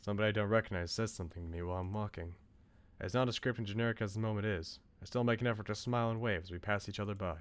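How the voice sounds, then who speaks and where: A man's voice: lowish voice, racing. A man streaming a game, reading story narration aloud and adding casual commentary.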